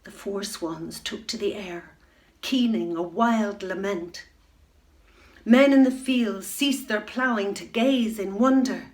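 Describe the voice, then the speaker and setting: A middle-aged woman reads a children's fairy tale aloud at a slow, deliberate pace. Her mid-range voice stays expressive, sinking to a near-whisper at tense moments. She is recorded on a webcam in a room at home. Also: mid-range voice. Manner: unhurried and expressive, dropping to a hush at tense moments. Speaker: storyteller reading a children's book. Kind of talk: bedtime story reading. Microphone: webcam facing the reader.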